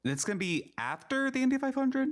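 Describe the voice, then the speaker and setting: A man's voice: very high voice, big pitch swings, brisk. A man co-hosting a podcast, a bit sick and slightly nasal, with dry humor in casual chat. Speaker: podcast co-host. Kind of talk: casual chat and show outro.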